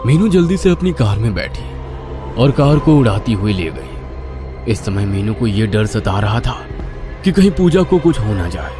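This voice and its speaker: A man narrates a horror tale dramatically. His voice sits low and swings widely in pitch. Music plays clearly underneath his scripted storytelling. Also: sing-song, unhurried. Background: clear background music.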